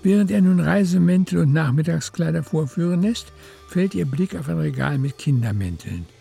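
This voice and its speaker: A man's voice: on the high side, expressive, moderate pace, creaky, dark tone, husky, soft background music. A man with pronunciation reading literary narration for a radio drama.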